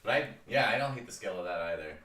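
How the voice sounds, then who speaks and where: A woman in relaxed casual chat who often hesitates and trails off with fillers. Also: rumbling low, rapid-fire. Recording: roomy, distant, noisy.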